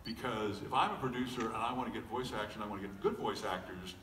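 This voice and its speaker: A man's voice: on the low side, quick pace, a bit bright. Joking man in an echoey hall. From United States. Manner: joking, self-deprecating, many fillers and restarts. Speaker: voice actor guest on a convention panel. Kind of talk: casual panel talk to an audience. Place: large convention hall. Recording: echoey hall recording from far off, faint noise.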